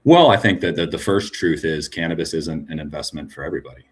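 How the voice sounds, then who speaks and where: Husky, very low-voiced man. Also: fast talker. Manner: frank, tag questions with "right?". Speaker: cannabis business guest. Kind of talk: conversational podcast interview.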